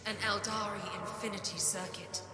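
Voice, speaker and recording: A woman's voice: mid-range voice, steady tone, moderate pace, lightly acted. A woman playing an imperious, driven inquisitor in a video game cutscene, with a haughty, commanding, intense delivery. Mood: awed, intent. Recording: roomy echo under loud music.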